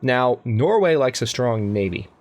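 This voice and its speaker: A man's voice: medium pitch, lively intonation, a little slow, darkish tone. A young man casually commenting on a strategy game as he plays, thinking aloud and often correcting himself. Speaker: let's play host. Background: faint game music.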